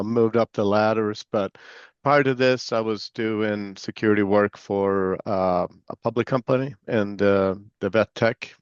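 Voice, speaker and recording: A man from Sweden with a Swedish accent blended with American Southern tones, answering interview questions in a relaxed, filler-filled way. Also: on the low side, smooth, no room sound, highs cut off. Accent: Swedish mixed with American Southern. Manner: relaxed, many fillers and restarts. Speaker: guest, security executive.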